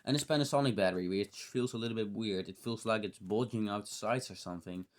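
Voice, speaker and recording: A man's voice: lowish voice, fast. A man giving a casual, unscripted hands-on gadget demo, rambling and thinking aloud with some mild swearing. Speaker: host of a tech teardown channel.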